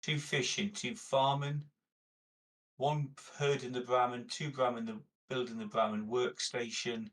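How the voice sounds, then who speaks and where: A man's voice: mid-range voice, little pitch movement, unhurried, a bit bright. A man chatting casually as a player at a tabletop game, jokey and sweary, recorded at a distance from the mic.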